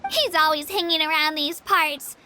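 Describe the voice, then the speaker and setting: A woman's voice: high-pitched, fairly even intonation, normal speed, sharp tone, slight background noise. A woman voicing a small, friendly young girl in a video game, chirpy, affectionate and earnest. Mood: friendly explaining. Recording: game music behind the voice.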